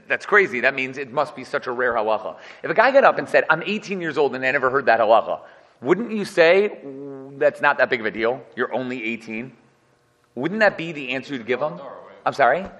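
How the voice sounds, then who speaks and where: A man's voice: mid-range voice, pushed and bright, long reverb tail, lo-fi sound. A man giving an informal, joking Torah class and putting questions to his students. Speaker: Torah teacher.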